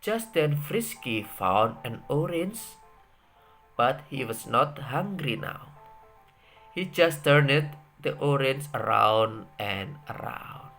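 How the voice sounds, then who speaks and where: Someone reading a kids story out loud, kinda halting, really up and down pitch, soft music behind. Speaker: storybook reader. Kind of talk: reading a children's story aloud.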